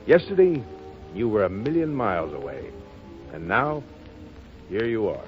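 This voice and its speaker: An actor playing a tough but courteous poker-faced gambler, talking in casual clipped lines in a 1930s radio drama. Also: male voice, on the low side, very animated, measured pace, soft background music. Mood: tender, restrained. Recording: dull old 1930s radio recording.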